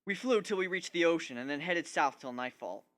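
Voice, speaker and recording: A man's voice: a little high, animated, fairly quick, a bit bright. A man narrating a piece of fan fiction as an amateur dramatic reading. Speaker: amateur story reader.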